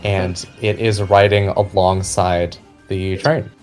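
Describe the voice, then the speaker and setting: A man narrating a fantasy tabletop game as game master, describing scenes deliberately and with suspense. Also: deep voice, level tone. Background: background music.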